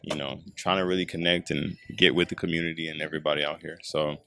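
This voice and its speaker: A man in his twenties with a deep voice, chatting casually as a podcast guest at an outdoor skate park, laid-back and rambling with lots of fillers. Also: flat delivery, quick pace, vocal fry, bright, forceful tone. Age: 20s. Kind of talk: casual chat. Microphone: handheld mic.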